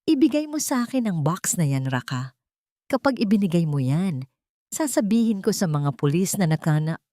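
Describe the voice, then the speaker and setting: A woman's voice: lowish voice, warm tone, dry recording. A narrator puts on a rich, controlling villainess for an audiobook drama. She is cold, bitter and threatening, a woman used to giving orders, heard in a very dry recording. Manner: threatening, imperious. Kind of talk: audiobook character acting.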